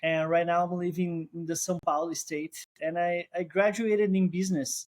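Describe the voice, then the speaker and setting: A man's voice: high-pitched, flat delivery, normal speed, darkish tone. Brazilian-accented man, thoughtful guest. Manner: thoughtful, restarts phrases, non-native phrasing. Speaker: guest, co-founder. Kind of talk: casual interview.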